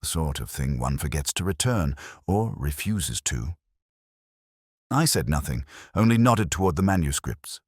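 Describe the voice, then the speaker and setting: A man reading a scripted first-person detective story in a measured, reflective, literary manner. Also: very deep voice, sing-song, slightly rough, dry recording, studio quality. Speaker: narrator.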